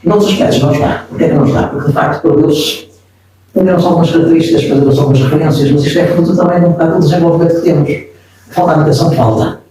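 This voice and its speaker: A man's voice: on the high side, steady tone, racing, a crowd in the background. A man, reflecting as an interview guest and often restarting his sentences. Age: 70s. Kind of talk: casual interview talk. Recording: echoey room, distant mic.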